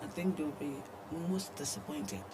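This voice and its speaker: A young woman with a Nigerian accent co-hosting a newspaper review discussion, speaking in a hesitant, halting way. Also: lowish voice, big pitch swings. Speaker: news show co-host. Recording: clear background noise and ambience.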